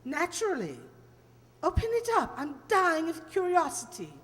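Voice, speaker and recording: A woman's voice: very high-pitched, wildly swooping pitch, moderate pace, fairly bright tone, heavily acted. A woman playing a blunt, impatient older aristocratic lady with a clipped manner in a radio play. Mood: impatient, curious. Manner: clipped, brusque, imperious. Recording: echoey hall reverb.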